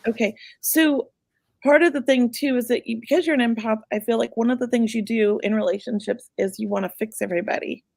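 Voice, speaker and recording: A woman hosting a live psychic reading, chatty and reassuring, going a bit hoarse as she loses her voice. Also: a little high, fairly quick, a little mellow, no echo. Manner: chatty, reassuring, many filler words. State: losing her voice. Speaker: psychic medium host.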